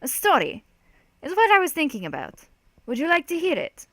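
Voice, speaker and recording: A woman's voice: medium pitch, normal speed, no room sound, natural voice. A woman voicing a rambling old drunken war veteran telling stories in an emphatic, meandering way. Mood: probing. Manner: odd cadence, emphatic, meandering storytelling.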